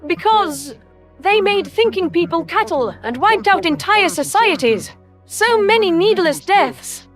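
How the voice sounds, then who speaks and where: A woman playing an ancient, principled alien predator soldier who speaks in a smooth, formal, reproachful way in an audio story. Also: very high voice, expressive, unhurried. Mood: appalled. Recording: music under the voice. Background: music.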